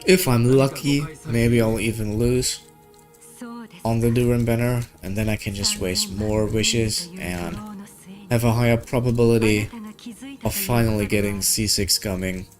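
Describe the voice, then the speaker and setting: A man's voice: mid-range voice, steady tone, measured pace, music in the background. A man talking casually as a game streamer, thinking aloud in informal, slangy language. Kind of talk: casual gaming stream chat.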